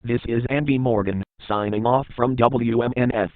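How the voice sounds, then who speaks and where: A man's voice: medium pitch, normal speed, dry recording, close to an everyday voice. Through a thin, phone-like line with a dry sound comes a man playing a grave newsman. He is emotional and despairing, delivering a dramatized emergency broadcast. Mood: grave, resigned. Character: despairing male newsman announcing the end of the world. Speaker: news broadcaster. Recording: tinny phone-like band, dry.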